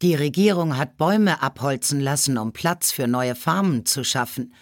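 A man's voice: mid-range voice, moderate pace, no echo, studio quality, close to an everyday voice. A man voicing a worried, doubtful village woman in a children's storybook, complaining and incredulous.

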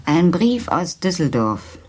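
Low-voiced, wistful woman in radio drama. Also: big pitch swings, slow pace, a little mellow, breathy edge, exaggerated voice. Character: melancholy, chilly woman who paints, drinking over checkers. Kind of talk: naturalistic German radio play dialogue.

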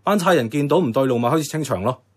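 A man's voice: mid-range voice, lively intonation, rapid-fire, close to an everyday voice. A man acting an idealistic, stubborn young activist with a Hong Kong Cantonese accent, earnest and persuasive. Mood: apologetic, explaining. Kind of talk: radio drama acting.

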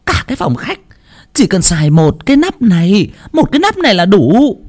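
A man's voice: high-pitched, huge pitch swings, darkish tone, pitched above the performer's own voice, full character voice. Man doing a screeching bossy mother-in-law. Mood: shrieking scold. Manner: screeching, scolding, rapid-fire tirades. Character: shrill domineering older mother-in-law.

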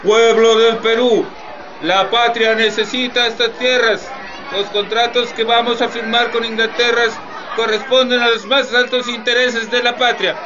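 Pompous politician speech for a radio play, really high voice, crowd and music behind. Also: male voice, little pitch movement, measured pace, highs cut off, noisy background. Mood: solemn, rousing. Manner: declamatory, solemn. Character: pompous male government leader addressing the nation. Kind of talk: radio drama political oratory.

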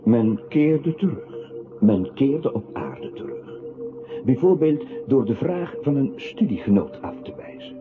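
A man's voice: medium pitch, lively intonation, a little slow, close to an everyday voice. A man acting a mocking, accusing inner voice in a literary radio play, with a sardonic, theatrical manner. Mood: intense, scornful. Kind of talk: literary radio play narration, addressing the protagonist. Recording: tinny band-limited radio drama with music.

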